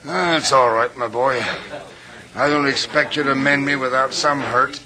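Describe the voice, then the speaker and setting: A man's voice: medium pitch, steady tone, unhurried, bright, forceful tone, poor audio. An actor playing a bitter, world-weary man guarding hidden gold, with sardonic, theatrical phrasing in old-time radio drama style. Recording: old radio recording with faint hiss.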